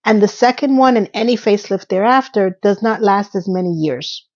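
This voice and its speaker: A woman's voice: mid-range voice, normal speed, darkish tone, highs cut off. Chatty gossiping woman in her fifties. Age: 50s. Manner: chatty, gossipy, with asides and little sung quips. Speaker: YouTube commentator. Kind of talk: casual chatty commentary.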